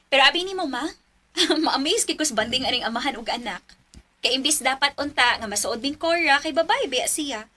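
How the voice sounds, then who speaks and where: High bright young Filipino mother. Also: lively intonation, moderate pace. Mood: cheerful, teasing. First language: Cebuano. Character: cheerful young wife and mother, affectionate, chatty. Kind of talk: Bisaya radio drama acting.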